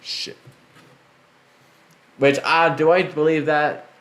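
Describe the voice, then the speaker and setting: Podcast host, rambling and loose, swears often, filler-heavy delivery. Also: male voice, a little high, flat delivery, slow pace, fairly bright tone. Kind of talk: casual podcast chat.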